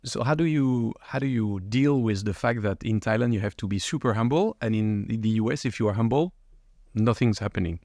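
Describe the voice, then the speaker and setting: A man with a fairly low voice hosts a podcast interview, asking long questions and rephrasing them several times until the point lands. The sound is dry and close to the mic. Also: brisk, warm tone, no echo. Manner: probing, rephrases questions at length. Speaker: podcast host.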